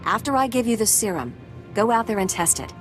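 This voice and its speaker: A woman acting a brisk, dry-witted medical researcher in a video game, wry and matter-of-fact. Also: fairly high pitch, expressive, faint music behind, close to an everyday voice. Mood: wry, resolute.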